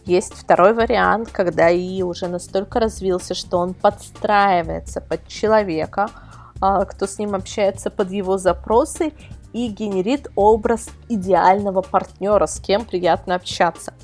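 A woman's voice: medium pitch, fairly even intonation, moderate pace, a little mellow, faint music behind. A woman speaking as a guest expert in a casual interview, explaining things and often searching for words. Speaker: guest expert, web portal editor.

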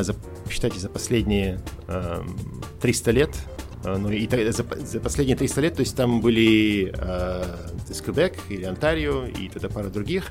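Gravelly low-voiced man in his forties. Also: a little mellow. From Russia. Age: 40s. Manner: thoughtful, halting, many fillers and restarts. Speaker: podcast guest. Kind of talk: casual podcast interview over a call.

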